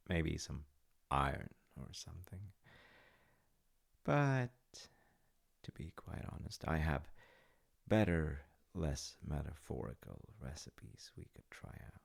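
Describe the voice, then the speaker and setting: Man voicing a gentle storytelling father, slow pace, husky murmur, soft-spoken, halting, wryly tender, intimate audio roleplay. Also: a bass voice, full character voice. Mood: gently teasing. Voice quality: gravelly, husky, often dropping to a breathy murmur. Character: gentle, doting, wryly humorous storytelling dad. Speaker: voice actor.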